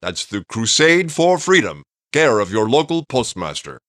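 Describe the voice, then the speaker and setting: A man delivers a 1950s-style radio appeal in a mid-pitched, animated voice. He sounds earnest and formal, recorded close and dry. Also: normal speed, no echo. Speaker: radio announcer reading an appeal. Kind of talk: 1950s radio public-service announcement.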